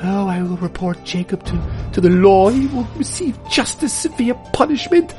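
A woman voicing a haughty, grieving duchess in a melodramatic radio-style story, speaking in a shrill, high, dramatic manner. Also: lively intonation, moderate pace, darkish tone, soft background music, lightly acted. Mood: distraught. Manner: melodramatic, imperious.

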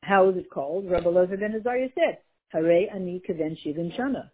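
A woman's voice: lowish voice, quick pace, slight background noise. Woman teaching a Torah class over a phone line. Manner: lively, mixes Hebrew and Yiddish terms, searches for words. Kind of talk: Torah class explaining a text.

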